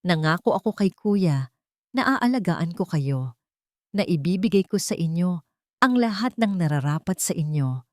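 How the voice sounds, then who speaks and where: A woman's voice: lowish voice, animated, moderate pace, dry recording, studio quality, close to an everyday voice. A woman voicing a serious, devoted young man speaking sincerely in an audio drama story. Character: earnest, devoted young man confessing his love.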